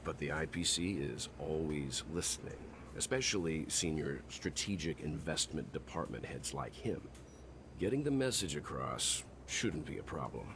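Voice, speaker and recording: An actor playing a cautious, protective mature mentor in a video game, calm, serious and explanatory. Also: male voice, very deep voice, normal speed, gravelly, background noise, heavily acted. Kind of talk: scripted video game voice acting. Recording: loud music under the voice.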